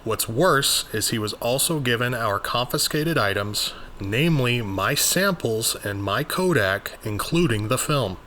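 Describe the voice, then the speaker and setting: A man's voice: medium pitch, lively intonation, a little slow, few pauses, pushed and bright, a little hiss or hum. A man playing an earnest, pedantic occult investigator, narrating his journal in the first person. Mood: brooding. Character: earnest, exasperated, bookish male occult investigator of 1920s.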